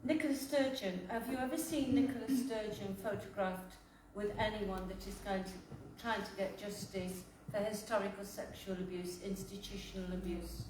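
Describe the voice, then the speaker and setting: A woman's voice: mid-range voice, steady tone, moderate pace, hardly any pauses. Hesitant audience member, woman, distant in a noisy, echoey hall. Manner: hesitant, probing questions. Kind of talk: audience question. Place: chapel hall. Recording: echoey room from far off with background noise.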